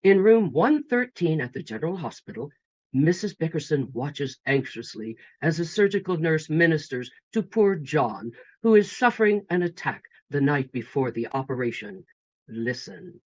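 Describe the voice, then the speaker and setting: A man's voice: fairly high pitch, very animated, normal speed, a little mellow. A man narrating as announcer of an old-time radio show, in scripted style, with slightly muffled sound.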